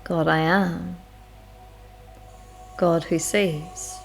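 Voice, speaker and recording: A woman guiding a spiritual meditation in an unhurried, reflective, measured way, with long pauses between phrases. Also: fairly low pitch, fairly even intonation, vocal fry. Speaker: guided meditation leader. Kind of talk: slow spiritual guided meditation narration. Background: music.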